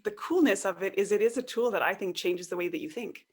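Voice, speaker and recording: Excited woman guest on a podcast, chatty, laughs a lot, acts out little quotes. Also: mid-range voice, fast talker, husky. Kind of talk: casual conversational interview.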